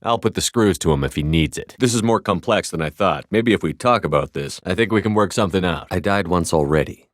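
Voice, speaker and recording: Guy acting as tough space squad leader in a game, low male voice, terse and firm, really dry close recording. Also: a little fast, few pauses, dry recording, natural voice. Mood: controlled, determined. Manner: terse, firm, assertive delivery. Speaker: video game voice actor. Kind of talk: cinematic game dialogue lines.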